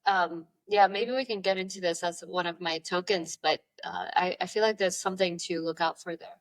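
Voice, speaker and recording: A low-voiced woman explaining news. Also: normal speed. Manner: upbeat, explains news in a conversational way. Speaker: podcast co-host. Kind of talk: casual chat.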